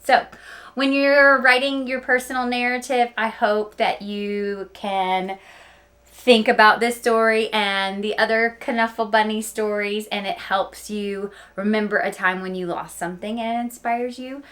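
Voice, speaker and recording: A woman teacher reading a picture book aloud to children, with animated emphasis and chatty explaining asides. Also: mid-range voice, a little slow.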